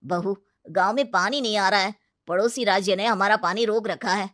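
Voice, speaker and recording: Village council man played by a woman: mid-pitched, earnest, blunt, gently mocking, dry recording, comic moral story. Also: normal speed, dry recording, close to an everyday voice. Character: blunt, respectful village council elder, male.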